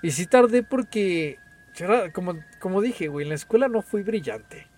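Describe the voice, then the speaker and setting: A man's voice: sits high, animated, normal speed, a little mellow. A young Mexican man with a Mexican accent, chatting casually on a podcast, full of slang and swearing. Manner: slangy, swears a lot, says "güey" constantly. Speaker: podcast co-host. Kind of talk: casual chat.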